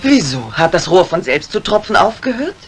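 A woman's voice: on the low side, sing-song, moderate pace. An actress playing a self-assured, inquisitive lady mystery writer in a retro radio crime drama. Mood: surprised, inquisitive. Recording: noisy old radio recording.

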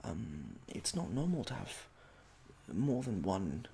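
A young man speaks softly in an ASMR roleplay, playing a polite, awkward examiner. His voice is creaky and moves widely in pitch, with halting delivery. Faint room noise sits behind him. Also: sing-song. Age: young adult. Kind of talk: soft-spoken ASMR roleplay. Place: small domestic room indoors.

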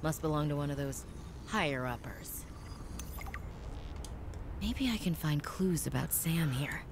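A woman's voice: sits low, expressive, measured pace, noisy background. An actress playing a curious young woman explorer in a video game, murmuring wry remarks to herself. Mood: wry musing.

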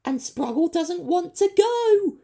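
A man voicing a timid, squeaky male creature who gives muddled directions. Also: sing-song, takes it slowly, gravelly, warm tone, heavily acted. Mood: nervous. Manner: innocent, nervous, muddled.

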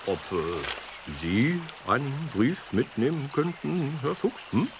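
A man's voice: mid-range voice, very animated, slow. A man voicing a small, good-natured cartoon bear, polite, hesitant and full of fillers. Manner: bumbling, hesitant, mumbling politely with many fillers. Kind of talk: children's cartoon voice acting. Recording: clearly noisy soundtrack.

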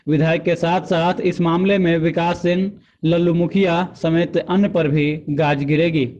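A man's voice: a little high, fairly even intonation, measured pace, darkish tone. Male news narrator, dull lo-fi sound. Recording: dull lo-fi voice-over, faint noise.

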